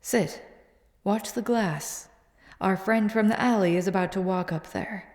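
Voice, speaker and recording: A woman voicing a hardened female vampire hunter who bosses a rookie around in an audio roleplay, speaking in a low, commanding, teasing way that often sinks to a hush. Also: measured pace, no echo, lightly acted. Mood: grim, instructive. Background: faint ambient soundscape.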